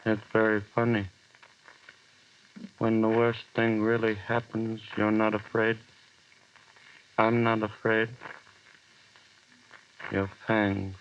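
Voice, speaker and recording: A man's voice: on the low side, slow, long pauses, clear, resonant tone. An actor playing a lone man hallucinating as a giant spider closes in, in a breathless first-person monologue from an old radio horror drama. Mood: eerily calm. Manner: breathless first-person monologue, panic turning eerily calm. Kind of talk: 1930s radio horror acting. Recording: dull vintage radio recording.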